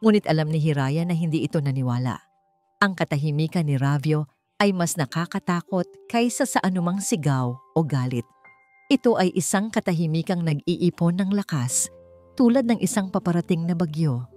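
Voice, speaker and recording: A woman with a Filipino accent narrating a scripted romance story in slow, deliberate, dramatic phrasing. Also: low voice, animated, warm tone, faint music behind.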